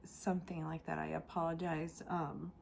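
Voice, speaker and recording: Vlog host, female, lower-pitched, unhurried delivery, raspy from a cold, slight background hiss. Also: flat delivery. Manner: soft, hesitant, many ums. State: sore throat, raspy and congested. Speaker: craft channel host. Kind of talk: casual unboxing vlog.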